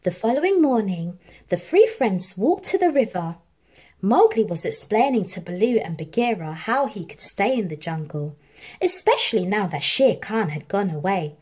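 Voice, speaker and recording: A woman narrating a children's storybook in an expressive, measured read-aloud style. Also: medium pitch, husky.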